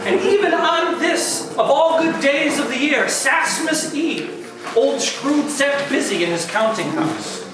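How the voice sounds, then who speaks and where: A man's voice: very high-pitched, animated, exaggerated voice. A man acting as the theatrical narrator of a comic Christmas tale in an amateur read, stressing words dramatically. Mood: dramatic. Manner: dramatic, drawn-out emphasis. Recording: cavernous echo, distant, music behind.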